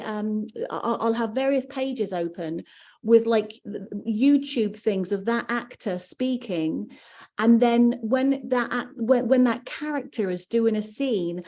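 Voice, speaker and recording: A woman's voice: a little high, dark tone. A woman audiobook narrator talking casually on a podcast, chatty, with frequent restarts and fillers.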